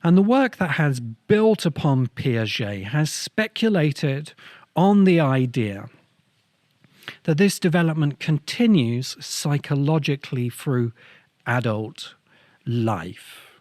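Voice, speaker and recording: A man hosting a talk on ideas, speaking in an essay-like, explanatory style with measured, deliberate phrasing in short bursts. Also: fairly high pitch, expressive, a little mellow, no room sound, studio quality. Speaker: host of a science fiction ideas show.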